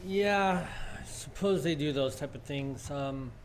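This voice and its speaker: Radio play role: stiff, dry bank examiner, played by a man, somewhat high voice, impatient. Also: measured pace, a little hiss or hum, natural voice. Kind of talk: 1940s radio play acting.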